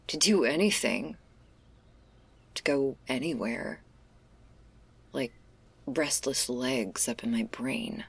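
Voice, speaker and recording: A woman acts out a female stoner werewolf in an ASMR roleplay, laid-back and caring with an anxious streak. Her voice sits low and crackles with fry. She talks slowly and hesitantly in casual slang, slipping between a breathy murmur and a whisper. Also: no echo, heavily acted. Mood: apologetic, uneasy. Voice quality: husky, breathy, creaky. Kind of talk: intimate ASMR roleplay, spoken to the listener.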